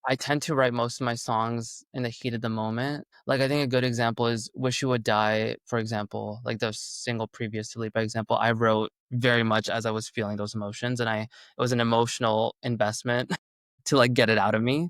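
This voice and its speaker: A man's voice: mid-range voice, little pitch movement, fairly quick, a bit bright, clear, resonant tone, no echo. A man in his twenties, whose first language is Urdu, answering interview questions in a casual, upbeat way, with lots of "like" fillers. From Canada. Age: 20s. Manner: chatty, heavy "like" fillers, upbeat. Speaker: musician guest.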